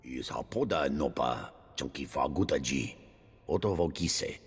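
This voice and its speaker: A man's voice: rumbling low, animated, measured pace, slightly rough, full character voice. A man acting a ponderous, menacing alien who speaks an invented tongue in a video game. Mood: ominous. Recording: long reverb, music underneath.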